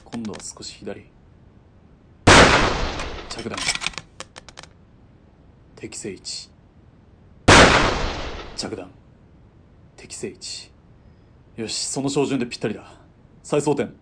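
A man's voice: slow, long pauses. A man playing a cool, commanding military spotter in a radio drama, clipped and composed. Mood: focused, clipped. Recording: faint rumbling background noise.

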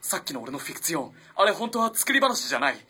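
Casting: male actor as lovestruck young student for a period radio drama; bright, slightly rough voice, earnest and halting. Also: on the high side, very animated, heavily acted. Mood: agitated, pleading. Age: young adult. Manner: earnest, halting, swinging between hushed and impassioned. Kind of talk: dramatic radio play acting.

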